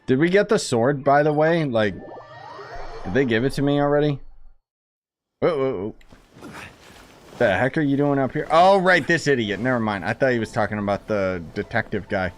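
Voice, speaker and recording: A man's voice: mid-range voice, normal speed, pauses often, a little hiss or hum. A man giving casual, impatient, sarcastic gameplay commentary as a streamer. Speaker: game streamer.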